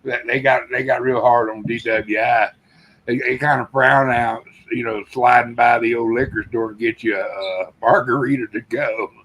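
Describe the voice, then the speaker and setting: A man's voice: mid-range voice, fairly even intonation, normal speed, fairly bright tone, breathy edge, poor audio. An older man from Texas, chatting casually on a live stream and telling rambling folksy stories with quoted dialogue. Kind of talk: casual chat.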